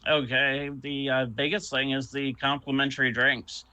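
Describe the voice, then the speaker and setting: A man's voice: medium pitch, little pitch movement, moderate pace, bright, forceful tone, clear, resonant tone, dry recording. A man from Canada, a podcast guest, chatting casually and hesitantly with many ums and uhs. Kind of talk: casual chat.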